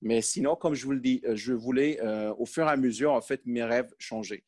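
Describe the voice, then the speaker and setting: A man's voice: mid-range voice, brisk, darkish tone. A Moroccan-born man in his forties, a podcast guest talking about his life in a hesitant, conversational way with many fillers. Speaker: podcast guest, sales manager. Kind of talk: conversational interview.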